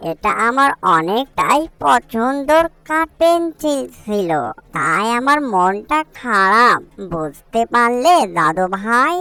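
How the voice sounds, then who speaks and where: Small cartoon child played by a woman: earnest, pleading, high voice, unhurried delivery. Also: very animated, sharp tone, dry recording, full character voice. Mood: sad. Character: small child, earnest, plaintive, attached to a pencil. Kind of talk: cartoon character acting.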